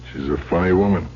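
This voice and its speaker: Gruff, deep-voiced aging crook. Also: male voice. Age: older. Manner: gruff, offhand, halting. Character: gruff, aging ex-convict turned rich, awkward host. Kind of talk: old-time radio drama acting. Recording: muffled, hissy old radio recording.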